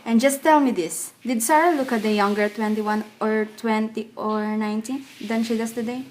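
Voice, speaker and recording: A fairly high-voiced, disapproving woman in a radio play, played by an actress. She is blunt and tart, always needling. There is slight background noise on the recording. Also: steady tone, moderate pace, a little mellow, natural voice, low-quality recording. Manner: tart, matter-of-fact.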